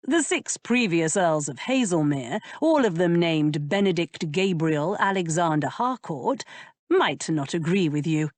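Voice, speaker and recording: A woman's voice: on the low side, lively intonation, dry recording. Woman voicing a sly, drawling male earl. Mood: teasing. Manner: drawling, amused, unhurried. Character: teasing aristocratic male earl, languid and sly.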